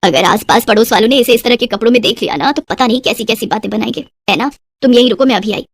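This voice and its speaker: A woman's voice: a little high, fast, slight background noise, lightly acted. A woman voicing an exasperated middle-aged mother-in-law in a story, scolding and lamenting dramatically. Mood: dismayed.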